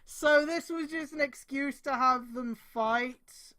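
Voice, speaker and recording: A woman streamer reading fan fiction aloud in a mocking live style, with exasperated outbursts, drawn-out emphasis and swearing. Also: high-pitched, pushed and bright.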